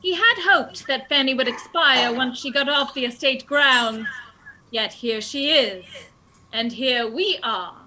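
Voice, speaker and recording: A woman's voice: high-pitched, a little slow, pushed and bright, slight background noise, low-quality recording. A woman playing a melodramatic detective in a comedic radio play, declaiming her deductions theatrically. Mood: grandly explaining. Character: melodramatic woman detective, triumphant and dutiful daughter.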